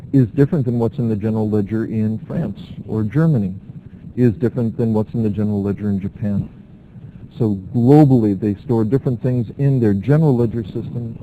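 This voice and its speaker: An American man giving a university lecture, explaining step by step with frequent filler pauses. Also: fairly low pitch, phone-quality sound, slight background noise.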